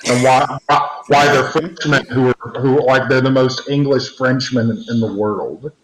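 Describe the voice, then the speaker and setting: A man's voice: medium pitch, steady tone, a little slow, a bit bright, a little hiss or hum. A man co-hosting a casual podcast discussion, rambling with frequent restarts and fillers. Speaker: podcast co-host. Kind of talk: casual discussion over a call. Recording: roomy, dull lo-fi call audio.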